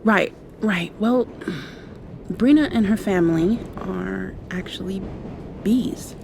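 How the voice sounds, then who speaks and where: A woman playing a gentle, affectionate young vampire in an intimate ASMR roleplay, speaking softly with thoughtful pauses. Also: mid-range voice, vocal fry, a little hiss or hum. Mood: confiding, wry. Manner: soft, tender, unhurried, thoughtful pauses. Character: gentle, affectionate young female vampire confiding in her girlfriend.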